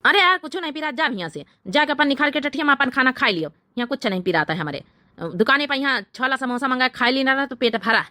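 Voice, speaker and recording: Woman playing bright-voiced, sneaky Awadhi village husband. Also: high-pitched, expressive, fast, no room sound, clean studio sound. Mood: irritated. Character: sneaky, short-tempered village husband with secret girlfriend. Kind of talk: cartoon comedy dialogue.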